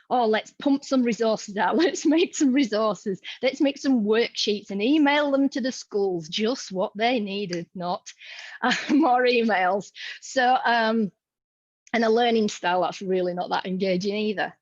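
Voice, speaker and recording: A woman's voice: mid-range voice, a little slow, dry recording. A woman in her thirties with a Yorkshire accent, a museum education leader talking informally and chattily to colleagues. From Yorkshire, England. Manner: friendly and chatty, with ums. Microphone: video call. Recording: dry, muffled video-call audio.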